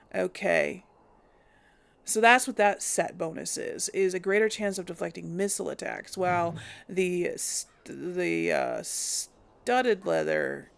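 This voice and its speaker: A woman commentating on her own gameplay in a casual way, mulling things over aloud and often restarting her sentences. She talks slowly, with a gravelly fry in her voice. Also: lowish voice. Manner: thinking aloud, hesitant. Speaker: let's play host.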